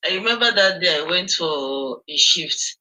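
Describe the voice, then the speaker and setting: A woman's voice: on the low side, expressive, moderate pace, bright, forceful tone, poor audio. A woman with a Nigerian accent calling in to share a testimony, telling her story with hesitant ums. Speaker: caller sharing a testimony. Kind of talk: call-in testimonial.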